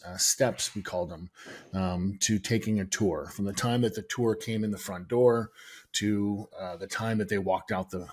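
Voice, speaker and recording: A middle-aged American man with a low, husky voice explains his sales process as an interview guest. He speaks in a measured, steady way, pausing often with "um". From United States. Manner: measured, steady, many "um" pauses. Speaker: guest, sales and software founder.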